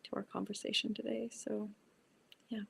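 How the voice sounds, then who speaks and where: A woman's voice: mid-range voice, level tone, normal speed, vocal fry, a bit bright, slight background noise. A woman co-hosting a casual live-streamed talk, playful and teasing, laughing often. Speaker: co-host.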